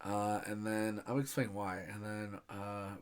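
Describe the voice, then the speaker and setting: A man with a fairly low voice chats casually on a film podcast. He speaks haltingly, working out his thoughts as he goes. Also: flat delivery, smooth. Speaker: podcast panelist. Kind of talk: casual film discussion chat.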